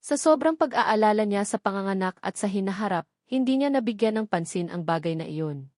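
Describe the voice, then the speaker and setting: Female Filipino storyteller for dramatic romance audiobook, mid-pitched, dry studio-like sound. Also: expressive, brisk, darkish tone, no echo.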